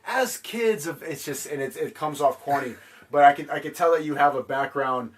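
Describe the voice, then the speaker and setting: A man's voice: a little high, moderate pace. A man hosting a casual chat, hesitant and often repeating words. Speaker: podcast host.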